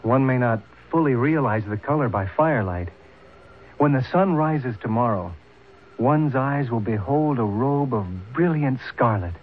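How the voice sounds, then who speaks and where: A man's voice: medium pitch, lively intonation, natural voice. An actor playing a proud, earnest young man torn between two peoples, speaking formally in an old-time radio drama. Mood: respectful. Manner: formal, deliberate phrasing. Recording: tinny, hissy old radio broadcast.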